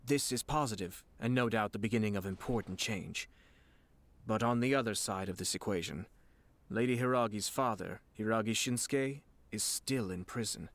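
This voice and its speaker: A man playing a composed, courteous young noble clan head in a video game, speaking in a polite, measured, formal way. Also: lowish voice, a bit bright, lightly acted. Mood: measured concern.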